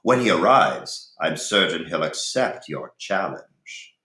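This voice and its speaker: A man voicing a bold, loyal hooded pilgrim from a storybook, deliberate and emphatic in his speech. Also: sits low, very animated, bright, forceful tone, exaggerated voice. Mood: calm, assured. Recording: roomy, echoey room.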